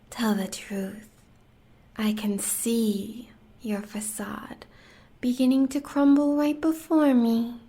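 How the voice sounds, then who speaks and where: Slow, sadistic American huntress villainess. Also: female voice, a little high, warm tone, husky, heavily acted. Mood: sweetly taunting. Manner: taunting, savoring words slowly, often dropping to a whisper. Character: sadistic, seductive huntress lover of a werewolf villain. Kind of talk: audio roleplay villain acting.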